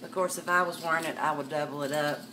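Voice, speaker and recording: Older woman host, low voice, chatty live-sale patter, webcam a bit far off with room noise. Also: fairly even intonation, fast talker. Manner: chatty, calls viewers darling and miss. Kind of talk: live sales stream, chatting to viewers. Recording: distant mic with background noise.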